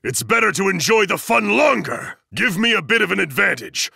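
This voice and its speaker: A towering, zealous male disciplinarian from an anime fighting game. The voice is hard-edged and very intense, with a raspy grain and big swings in pitch. He delivers everything as a bombastic, formal proclamation, stern and emphatic. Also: sing-song, normal speed, bright, forceful tone, no room sound, clean studio sound, exaggerated voice. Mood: courteous, composed. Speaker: video game voice actor.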